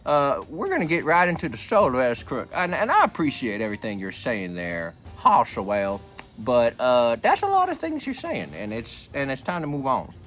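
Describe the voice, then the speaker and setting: A man's voice: medium pitch, wildly swooping pitch, normal speed, a bit bright, soft background music. Guy doing an old grandpa reading his book, jokey n rambly, voice jumps up and down loads. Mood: comic griping. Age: older. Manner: rambling, repeats himself, wisecracking. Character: folksy, joking old grandpa reading a memoir aloud. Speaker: book reader. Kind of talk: reading a book aloud with rambling comic asides.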